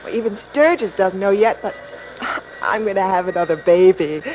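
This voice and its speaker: A woman's voice: mid-range voice, normal speed, a little mellow, natural voice, rough recording. An American woman acting an affectionate, confiding young wife in a 1950s radio soap.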